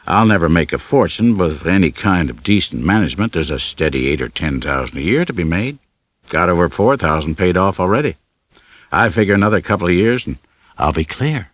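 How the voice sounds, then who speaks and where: Deep-voiced earnest young shopkeeper, read by a man. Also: expressive, brisk, dry recording. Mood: earnest, steady. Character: earnest young hardware-store owner in love, debt-burdened.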